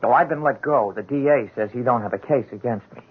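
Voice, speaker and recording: An actor in a 1970s radio drama playing a hapless, earnest drunkard with slangy, stammering, ungrammatical speech. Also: male voice, mid-range voice, quick pace, husky, lightly acted. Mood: nervous, earnest. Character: hapless drunkard fall guy, earnest street fellow. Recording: muffled, thin vintage radio recording.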